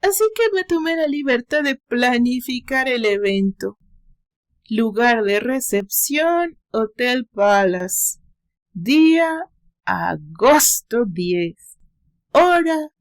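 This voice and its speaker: A woman voicing a drunk, heartbroken rich man in an audio story, with slurred, drawn-out, emotional delivery. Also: a little high, big pitch swings, heavily acted. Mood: drunken, tearful. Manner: emotional, broken by gasps and bitter laughs. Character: drunk, heartbroken wealthy male tycoon, bitter and pleading.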